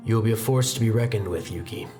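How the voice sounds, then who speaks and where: A game master plays a stern, kindly old-school sword master of a fantasy monastery. He instructs in a measured, solemn way at a medium pitch, with music behind him. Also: natural voice. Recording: music bed under the voice.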